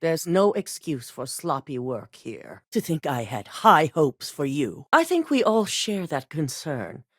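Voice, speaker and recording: A woman's voice: low voice, dry recording, clean studio sound. A woman voicing a cool, exacting female corporate executive in recorded game dialogue, speaking in a clipped, controlled, coolly authoritative way. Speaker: game character.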